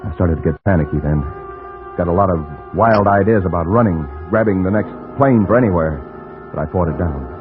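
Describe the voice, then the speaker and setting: An American actor playing a desperate newspaper reporter, narrating in urgent, hard-boiled radio noir style. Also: male voice, low voice, fairly quick, natural voice. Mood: tense, haunted. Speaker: lead actor and first-person narrator. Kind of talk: vintage radio noir acting. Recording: dull old radio broadcast with music.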